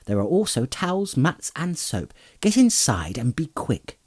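A brisk, bossy young hobbit fellow with a cheerful lift, as a male narrator voices him. The voice sits low and dark with a husky edge, and it swings lively up and down. The reading is close and dry. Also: full character voice. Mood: cheery, bossy. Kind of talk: audiobook character voice.

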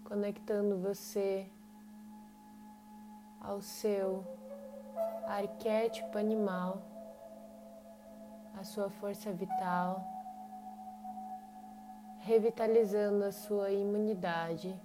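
Slow-paced Brazilian woman guiding meditation. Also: medium pitch, level tone. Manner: unhurried, long pauses between short phrases. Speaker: guided meditation leader. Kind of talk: guided meditation. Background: meditation music with singing bowls.

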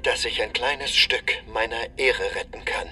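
Embittered male scientist played by an actor, confessional and urgent, forceful bright voice, set back from the mic. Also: medium pitch, steady tone, measured pace, distant mic, soft background music. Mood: grave, confessional. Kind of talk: dramatic monologue in an audio drama.

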